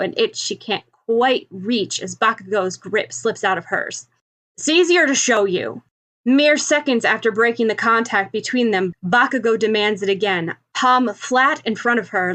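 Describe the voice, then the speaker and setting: A woman's voice: medium pitch, fairly bright tone. A woman reading scripted fiction aloud as the narrator of an audio story. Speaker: podfic narrator.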